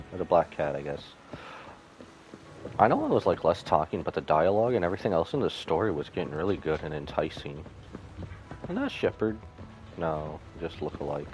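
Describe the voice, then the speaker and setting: A male game streamer with a fairly low voice and a lively, swinging intonation. He reads story text aloud, tripping on words and correcting himself, with faint music underneath. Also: low-quality recording. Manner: stumbles and self-corrects while reading. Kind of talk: reading game text aloud and live commentary.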